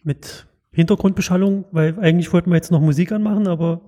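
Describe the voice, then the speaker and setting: A man's voice: sits high, steady tone, quick pace, mellow. A man in his thirties from eastern Germany, co-hosting a podcast with a dry, teasing manner, recorded in an echoey room with faint music. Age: 30s. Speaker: podcast co-host. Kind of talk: casual podcast chat.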